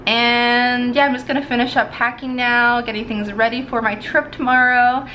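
A woman's voice: fairly high pitch, level tone, normal speed, bright, forceful tone, slight background noise. Upbeat young woman vlogging at home. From Montreal, Canada. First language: English. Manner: chatty and upbeat, laughs often. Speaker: lifestyle vlogger. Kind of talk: casual vlog chat to camera. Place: small bedroom at home. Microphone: handheld camera at arm's length.

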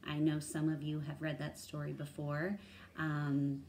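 A woman in her thirties reading a children's story aloud and pausing to explain words, thinking aloud like a teacher. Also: low-pitched, completely flat delivery, darkish tone, a little hiss or hum. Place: small room at home, indoors.

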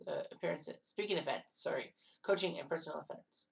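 A woman in her thirties narrating sports history casually, rambling with offhand asides and quick self-corrections. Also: low voice, creaky, far from the mic, rough recording. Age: 30s.

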